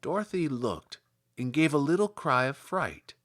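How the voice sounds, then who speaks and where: Guy reading a storybook aloud, lively rising and falling voice, slightly high. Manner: expressive, measured storytelling. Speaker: audiobook narrator. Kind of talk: scripted storybook narration.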